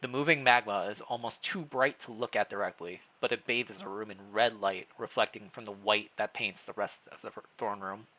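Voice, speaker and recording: Bright-voiced man reading a story aloud. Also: medium pitch. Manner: stumbles over words while reading aloud, self-deprecating. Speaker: streamer reading fan fiction aloud. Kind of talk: expressive story narration with casual chat.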